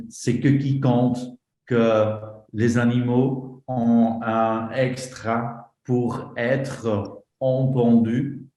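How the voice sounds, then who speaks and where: Flemish man presenting his group at a conference; slow, halting delivery in a roomy hall. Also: medium pitch, dark tone. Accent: Flemish Dutch. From northern Belgium. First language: Dutch. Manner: halting, many fillers and restarts. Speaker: association representative. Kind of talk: conference talk.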